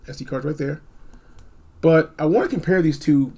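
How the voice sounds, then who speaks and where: Animated man with a higher-pitched, creaky voice. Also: normal speed. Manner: animated, emphatic, repeats phrases for effect. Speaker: product reviewer hosting a video. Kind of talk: casual, unscripted gadget review chat.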